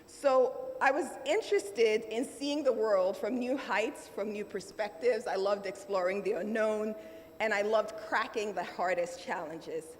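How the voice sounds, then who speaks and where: A middle-aged woman with a Nigerian accent gives a motivational keynote speech, measured and emphatic, with dramatic pauses. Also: mid-range voice. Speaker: keynote speaker. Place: large conference hall. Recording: roomy hall reverb, faint room noise.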